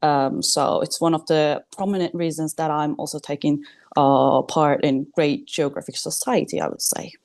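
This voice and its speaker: A young woman in her twenties with a Turkish accent, giving a hesitant online presentation, full of ums, in quick bursts. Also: low-pitched, steady tone, a little mellow. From Turkey. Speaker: student presenter. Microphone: video call.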